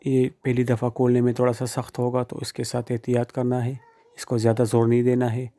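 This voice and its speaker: A man's voice: medium pitch, monotone, brisk, warm tone. A man giving plain, informal step-by-step how-to instructions, speaking directly to the viewer. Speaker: phone repair tutorial presenter.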